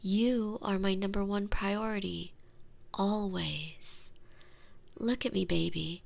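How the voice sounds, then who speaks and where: Woman acting a tender, protective girlfriend, slow and creaky. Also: medium pitch, fairly even intonation. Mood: tender reassurance. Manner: soothing, doting, breathy murmurs dropping often into whispers. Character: tender, protective, gently dominant girlfriend soothing her frightened partner. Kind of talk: intimate binaural ASMR roleplay.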